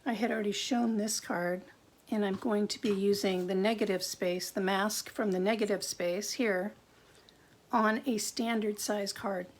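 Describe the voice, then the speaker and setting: A middle-aged woman with a gravelly voice, chatting and thinking aloud through a live card-making demo at her craft desk. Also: medium pitch, fairly even intonation, unhurried.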